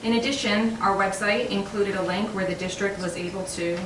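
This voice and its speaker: A woman giving a formal, measured project update at a school board meeting. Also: mid-range voice, steady tone. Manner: measured, read from prepared notes. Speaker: project lead presenting an update. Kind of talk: formal presentation. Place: meeting room. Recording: echoey room from far off, noisy.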